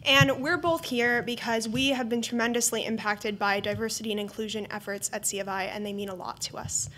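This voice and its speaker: A woman's voice: fairly high pitch, steady tone, a little fast, bright, forceful tone. A young woman from Idaho, a college senior, giving an earnest prepared speech at a campus event. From Boise, Idaho. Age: young adult. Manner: earnest, reading from prepared remarks. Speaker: student speaker.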